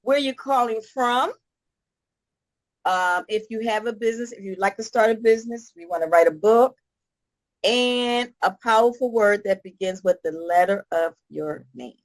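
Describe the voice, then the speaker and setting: A woman hosting an online networking meeting, upbeat and quick to laugh, prompting guests to introduce themselves. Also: mid-range voice, no room sound. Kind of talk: casual group-call hosting.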